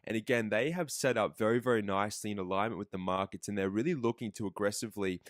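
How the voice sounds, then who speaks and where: A man with the flu, slowly losing his voice, talks fast through a trading lesson over his screen, with fillers and quick self-corrections. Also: lowish voice, no room sound, studio quality. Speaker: trading educator. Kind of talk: screen-recorded market analysis.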